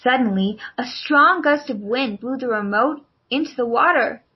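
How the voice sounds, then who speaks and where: A woman's voice: sits high, moderate pace, husky, muffled recording. A woman narrating a children's storybook as a scripted read-along.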